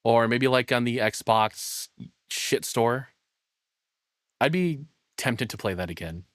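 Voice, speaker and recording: A man streams in a casual, off-the-cuff way, sounding tired. He is crude and self-deprecating, swearing freely, with a medium-pitched voice. The sound is close and dry, with little room in it. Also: animated, slow, no room sound. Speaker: streamer reacting to a video. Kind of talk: casual live-stream commentary.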